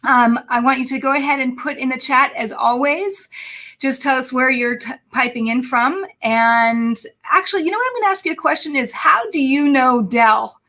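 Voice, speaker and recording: A woman's voice: high voice, moderate pace, pushed and bright. A woman hosting a live podcast over a video call, bubbly and chatty, with many fillers and restarts. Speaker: podcast host. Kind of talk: casual live podcast chat.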